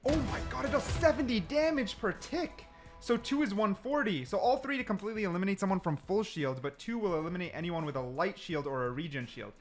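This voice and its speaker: A man hosting gaming videos with casual, animated gameplay commentary, often repeating phrases for emphasis. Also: high-pitched, a little fast, soft background music.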